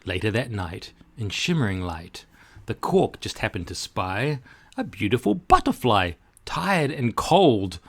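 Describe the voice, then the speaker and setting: A man reading a children's rhyming storybook aloud in rhythmic, expressive narration. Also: lowish voice, a little slow. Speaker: storybook reader.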